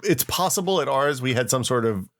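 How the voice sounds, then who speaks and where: A man's voice: medium pitch, animated, brisk, fairly bright tone. A middle-aged American man co-hosting a podcast, chatting casually and reading old posts aloud in a wry, self-mocking way. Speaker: podcast co-host.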